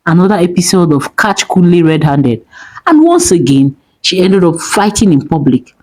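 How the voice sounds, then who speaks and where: Expressive woman storyteller. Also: lowish voice, moderate pace. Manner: expressive, dramatic. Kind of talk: dramatic story narration.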